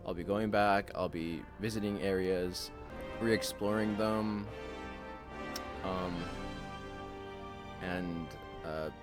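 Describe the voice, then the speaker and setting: A man hosting a casual game play-through, talking in a loose, rambling way with a slightly raspy voice. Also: sits low, steady tone, takes it slowly, long pauses, over music. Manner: loose and rambling, with many fillers. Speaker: host.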